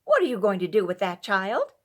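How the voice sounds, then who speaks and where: A woman voicing a brisk, clipped farmer's wife, outwardly indifferent and secretly tender, in a storybook tale. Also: medium pitch, huge pitch swings.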